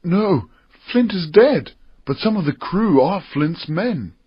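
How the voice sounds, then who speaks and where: A man's voice: high voice, lively intonation, slow pace, lo-fi sound, crowd noise, pitched above the performer's own voice. A man voicing an earnest young cabin boy in an audiobook, raised and careful. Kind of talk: audiobook character reading.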